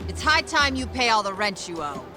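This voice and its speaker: A woman's voice: mid-range voice, very animated, brisk, lightly acted. An actress playing a bossy, fed-up landlady in a video game dub, scolding and demanding. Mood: exasperated, scolding. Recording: game audio under loud music. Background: loud background music.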